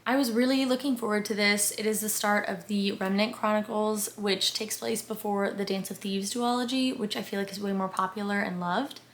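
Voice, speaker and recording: A woman with a hoarse, fading voice, chatting enthusiastically through a casual monthly book review. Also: mid-range voice, a bit bright. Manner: chatty and enthusiastic, quick asides. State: hoarse, losing her voice. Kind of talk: casual monthly reading wrap-up.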